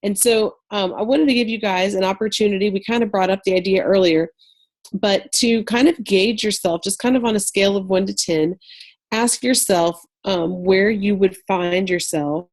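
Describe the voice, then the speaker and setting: A woman's voice: medium pitch, normal speed. A woman in her thirties with a head cold and a slightly nasal voice, presenting an online wellness class in a chatty way with many fillers.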